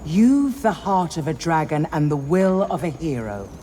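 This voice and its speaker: A proud elven stateswoman in a fantasy game. She speaks with formal, measured gravity and lively inflection. Clear ambient noise sits behind her. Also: sits low. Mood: solemn appeal. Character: grave, proud elven city councillor woman with feathered mantle. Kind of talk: dramatic video game cutscene acting.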